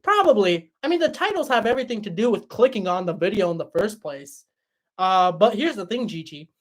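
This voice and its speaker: A young man hosting a livestream and answering chat in a relaxed, chatty way, his voice tired after hours of talking. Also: sits high, a little fast. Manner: relaxed, chatty, many fillers and restarts. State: voice tired after a long stream. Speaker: livestream host reading chat.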